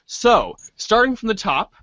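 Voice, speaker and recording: Male narrator for a comic reader's theater, highish voice, mock-grand delivery, dry and close sound. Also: sing-song, unhurried, bright, forceful tone, no echo, lo-fi sound. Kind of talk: dramatic narration of a comic adventure.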